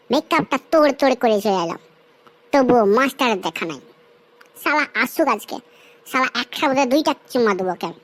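High-pitched brash cartoon young woman, acted by a woman. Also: fairly bright tone, low-quality recording. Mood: impatient, annoyed. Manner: slangy, bickering, scolding. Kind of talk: Bengali comedy cartoon dialogue.

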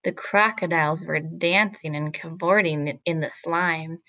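A woman reading a picture book aloud to children like a teacher, with deliberate, expressive, rhythmic phrasing. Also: low-pitched, dry recording.

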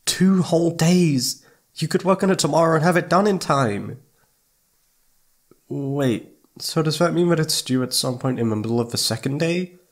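A man playing a needy, affectionate young boyfriend in an intimate ASMR roleplay, speaking in a soft, pleading, hesitant way. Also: a little high, normal speed, close to an everyday voice. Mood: whiny, indignant. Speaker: audio roleplay voice actor.

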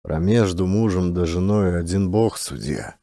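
A man's voice: low-pitched, measured pace, mellow, no room sound, studio quality, voice pushed down. Man acting a meek, plaintive peasant husband. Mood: meek pleading. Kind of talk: audiobook character acting.

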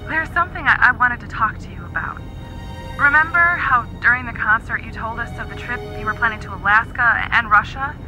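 A woman's voice: on the high side, brisk, over music, close to an everyday voice. An actress playing a worried, hesitant churchgoing woman on a phone call in a family audio drama. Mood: anxious, hesitant.

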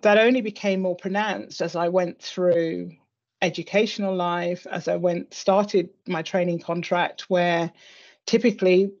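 A woman's voice: lowish voice, fairly even intonation, unhurried, a little mellow. Middle-aged British woman, slightly dull audio. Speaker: interview guest, senior executive. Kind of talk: reflective personal conversation. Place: indoor room on a video call.

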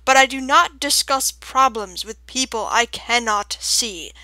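Storybook owl, played by a woman: grave, imposing, formal, round-toned, very deliberate and forceful. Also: no room sound. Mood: stern, blunt. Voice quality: deep, round, sonorous. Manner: slow, formal, weighty delivery. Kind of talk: audiobook character voice.

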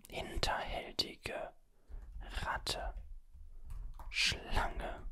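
A video host whispering the whole time while playing a word-guessing game, listing guesses, musing, and grumbling playfully at the computer. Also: bright, forceful tone. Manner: whispers throughout, guessing words aloud and musing. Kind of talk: whispered gaming commentary.

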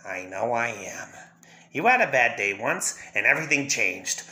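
Crazy clown villain from a comic, bright edgy voice, taunting and erratic. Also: male voice, medium pitch, very animated, normal speed. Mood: taunting, bitter. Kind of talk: fan dramatic reading of a comic.

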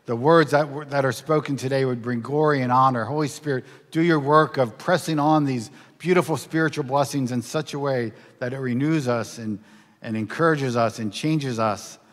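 A man's voice: medium pitch, fairly bright tone. A middle-aged man preaching a sermon from a pulpit in a church sanctuary, reading scripture, praying, and asking earnest, emphatic questions. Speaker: pastor. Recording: church livestream with long hall reverb.

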